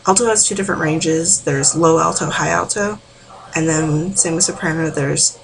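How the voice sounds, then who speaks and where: A woman giving a casual, rambling vocal warm-up tutorial from home, full of filler words. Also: sits low, normal speed, vocal fry, background noise. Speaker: amateur singing tutor.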